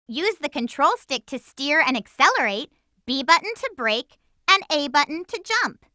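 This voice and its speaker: A spirited young girl heroine from a cartoon-styled video game. The voice is extremely high and sparkling bright, with lively swings in pitch. The delivery is perky, feisty and emphatic, full of determination. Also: normal speed, no echo, studio quality, heavily acted. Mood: instructive, perky. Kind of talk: video game voice acting, tips and battle shouts.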